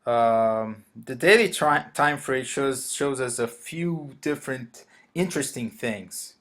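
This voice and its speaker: A man from Brazil, a native Portuguese speaker with a Brazilian Portuguese accent, walks through a currency trade at his computer in a hesitant manner, pausing often and restarting sentences. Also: mid-range voice, lively intonation, a bit bright. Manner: hesitant, many fillers and restarts. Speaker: forex trader. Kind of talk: screen-recorded trading walkthrough.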